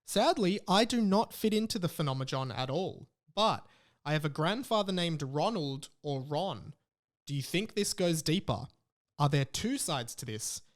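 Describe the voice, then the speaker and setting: A man with an Australian accent hosts a podcast in a voice pitched a little above average. His style is casual and rambling. He reads out messages and keeps interrupting himself with side comments. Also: animated, normal speed. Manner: rambling, interrupts his own reading with asides. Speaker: podcast host. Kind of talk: casual chat, reading out listener messages.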